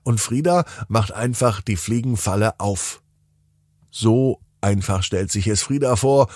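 Deep-voiced man telling a bedtime story. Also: a little mellow, no room sound, studio quality. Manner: deliberate, playful, with drawn-out words and dramatic pauses. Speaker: children's podcast storyteller. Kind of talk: expressive bedtime story narration for children.

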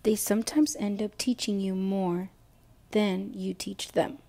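A young woman with a Mexican background reading a prepared self-introduction as an education student, slowly and carefully. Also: mid-range voice, steady tone, creaky, dark tone. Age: young adult. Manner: slow, careful reading, switching to Spanish for a passage.

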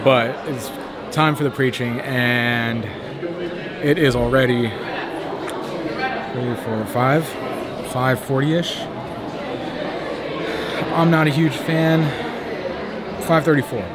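A man's voice: mid-range voice, slow, pauses often. Chatty young man vlogging amid a crowd. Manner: upbeat, chatty, rates food aloud. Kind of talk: casual vlog chat. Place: busy convention hotel hall, indoors.

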